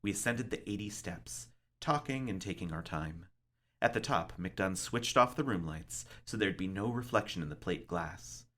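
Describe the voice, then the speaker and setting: A man reading a short story aloud as dramatic narration, in a deliberate, suspenseful manner. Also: low voice, lively intonation, fairly bright tone.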